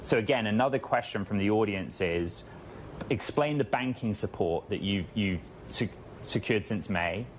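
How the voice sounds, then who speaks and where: A man working as a business interviewer, asking long, measured questions that he explains and rephrases. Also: fairly low pitch, slight background noise.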